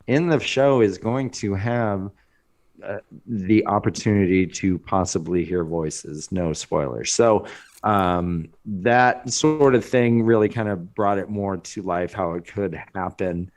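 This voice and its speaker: A man chatting casually as a guest, in a halting, reflective way. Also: sits low, darkish tone. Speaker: guest, table-read narrator. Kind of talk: casual chat.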